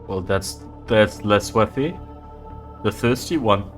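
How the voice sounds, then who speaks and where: A scruffy young drunk who swears at everything and rambles, scoffing at whatever he hears, as a game master puts him on. His low voice stays loose and sloppy over faint music. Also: slow. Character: crude, scruffy young drunk man of the street.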